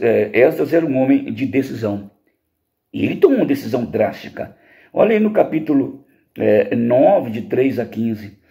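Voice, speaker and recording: Animated middle-aged Brazilian pastor with mellow voice. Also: male voice, mid-range voice, vocal fry. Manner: didactic, emphatic, asks rhetorical questions and answers them. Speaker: pastor teaching a lesson to teens. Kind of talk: informal Bible lesson.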